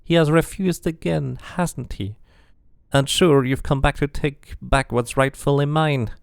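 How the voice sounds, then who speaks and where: A man's voice: on the high side, a little slow, vocal fry, smooth, no room sound, clean studio sound, voice pushed up. A man voicing a sad, haunted woman who clings to something she stole, speaking in a fragile, pleading way while reading a game aloud. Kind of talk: amateur game voice acting.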